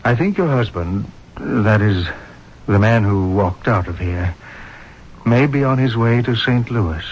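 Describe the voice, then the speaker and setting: A man's voice: fairly low pitch, expressive, a little slow, a little hiss or hum. An actor playing a grave, authoritative male hospital doctor in a 1970s radio drama, speaking emphatically. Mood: grave, confiding. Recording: muffled vintage radio broadcast.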